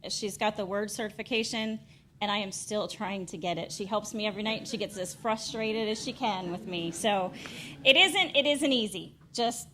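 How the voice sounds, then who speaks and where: Female, middle-aged, forceful bright tone, informal public introduction, lightly noisy room recording. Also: on the high side. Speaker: speaker introducing an award presentation. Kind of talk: informal public remarks at a meeting. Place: school board meeting room. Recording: faint background noise in meeting room.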